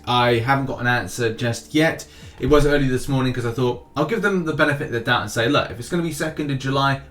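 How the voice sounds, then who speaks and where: A man's voice: medium pitch, a bit bright. A man hosting a gaming news update for his viewers in a casual, chatty style with jokey asides and emphatic, sing-song delivery. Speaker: host of a mobile game news channel. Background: background music.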